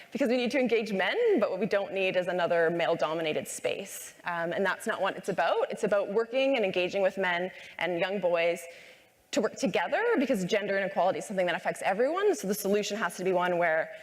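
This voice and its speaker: A woman giving earnest closing remarks on a conference panel, repeating words as she goes. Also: mid-range voice. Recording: long reverb of a large hall.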